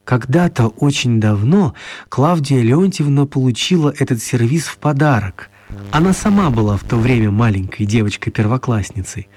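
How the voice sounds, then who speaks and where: A man with a husky voice narrating a scripted children's radio play as the storyteller. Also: mid-range voice, lively intonation, normal speed, darkish tone.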